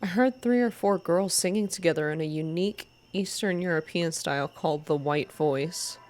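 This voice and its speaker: Low-pitched woman reading a story, ASMR style. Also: moderate pace, a little mellow. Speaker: reader of a visual novel game. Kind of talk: soft ASMR reading, scripted story narration with voiced dialogue.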